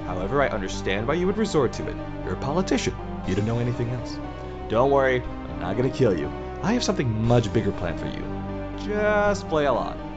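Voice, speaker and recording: Young male tyrant president for audio drama played by a man: mid-pitched, highly animated, mocking, theatrical, gleefully threatening. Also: a little fast, dull audio, loud music behind the voice, natural voice. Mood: smug. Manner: theatrical, mock-friendly, sarcastic.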